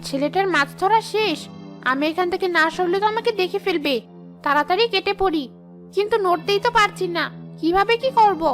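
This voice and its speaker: A greedy, mischievous tree ghost acted by a woman speaks in a piercingly high female voice. She schemes, grumbles and boasts in cartoon fashion over clear background music. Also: fairly quick, fairly bright tone. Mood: worried. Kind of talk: cartoon character acting.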